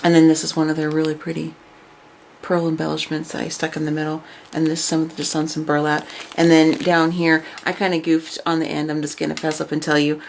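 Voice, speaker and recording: A woman casually showing her craft projects and chatting about them, her voice raspy from overuse and her talk full of fillers. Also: deep voice, slight background noise. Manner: chatty, filler-heavy, enthusiastic. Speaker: craft vlogger. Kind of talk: casual show-and-tell.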